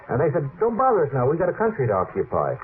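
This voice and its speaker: A man's voice: on the high side, wildly swooping pitch, very fast. A man in a radio panel discussion, arguing in a sardonic, contrarian way with hesitant starts. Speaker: panel guest, sceptical debater. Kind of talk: argumentative radio panel talk. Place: radio studio. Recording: noisy, phone-like old 1950s radio recording.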